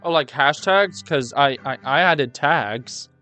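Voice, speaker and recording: A man's voice: on the high side, slow pace, sharp tone, faint music behind. A man hosting a livestream, chatting casually as he reacts to a video. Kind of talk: casual chat over a reaction stream.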